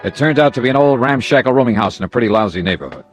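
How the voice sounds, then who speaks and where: Actor as animated hard-boiled American radio detective. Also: male voice, medium pitch, very fast, faint music behind, lightly acted. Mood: wry, clipped narration. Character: hard-boiled male insurance investigator, brisk and dogged. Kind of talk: 1950s radio detective drama, first-person narration and dialogue.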